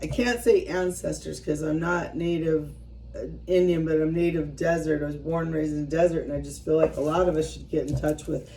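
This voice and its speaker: A woman's voice: low voice, fairly even intonation, a little fast, mellow, far from the mic, slight background noise, low-quality recording. A woman in her fifties chatting casually to her viewers as she works, rambling and self-deprecating, often trailing off mid-thought. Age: 50s. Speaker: vlogger. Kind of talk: casual chat while working by hand.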